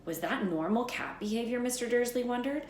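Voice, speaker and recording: A woman reading a storybook aloud as an expressive narrator, with emphatic, dramatic phrasing. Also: fairly high pitch, brisk. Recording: echoey room, faint background noise.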